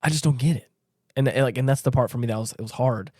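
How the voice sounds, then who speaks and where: A man's voice: medium pitch, quick pace, a little mellow, no echo, clean studio sound. A man co-hosting a casual podcast chat, talking in a rambling, emphatic way and often repeating himself. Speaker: podcast co-host.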